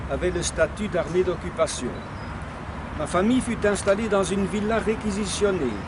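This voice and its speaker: An older man with a Belgian French accent reads a memoir aloud in a rather high voice. He goes at an unhurried pace and trips over a word here and there. Loud street and car noise fills the recording. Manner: unhurried, slightly halting reading with small restarts. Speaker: reader of his wife's memoir. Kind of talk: reading a text aloud with spoken asides.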